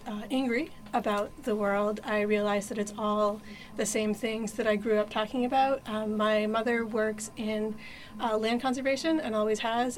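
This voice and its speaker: A woman in her thirties from New Hampshire talks through her answers in an interview, pausing often to find the right words and poking fun at her younger self. Her voice sits a little high. A faint hiss of noise stays under the recording. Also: poor audio. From New Hampshire, USA. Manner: thoughtful, many fillers and restarts, self-deprecating humor. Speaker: sustainability outreach coordinator, guest.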